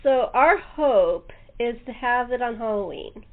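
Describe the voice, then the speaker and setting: A chatty woman hosts a relaxed conversational interview in a slightly high voice. She sounds as if she is on a phone line, thin and narrow in tone. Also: a little slow. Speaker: talk show host. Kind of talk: casual chat interview.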